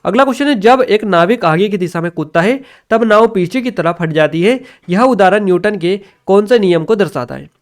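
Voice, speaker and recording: A man with a distinctly high-pitched voice teaches an exam review. He presents questions and their answers in an explanatory, instructional style. He leans on repeated set phrases and checks that listeners are following. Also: brisk, few pauses. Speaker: exam-prep teacher and channel host.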